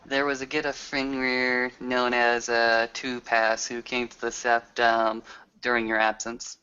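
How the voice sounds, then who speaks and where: A man with a bright, clear voice of middle pitch improvises in character at a roleplaying session. He feels his way through the exposition haltingly. The recording is slightly dull and lo-fi. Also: flat delivery. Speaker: tabletop roleplay player. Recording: dull lo-fi audio.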